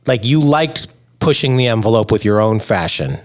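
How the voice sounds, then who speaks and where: A man's voice: lowish voice, expressive, normal speed. A man interviewing his co-hosts in a casual podcast chat, asking curious follow-up questions.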